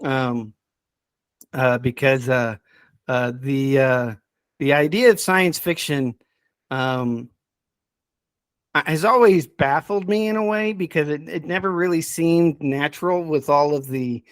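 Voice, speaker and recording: Higher-voiced man, halting casual talk. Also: dry recording, clean studio sound. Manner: halting, thinking aloud with repeated words. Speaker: podcast subject matter expert. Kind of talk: casual chat.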